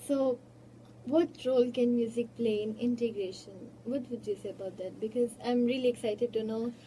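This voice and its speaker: A woman's voice: a little high, steady tone, moderate pace, mellow. A woman co-hosting a radio interview who asks curious, careful questions. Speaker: radio co-host. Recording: distant from the mic with light hiss.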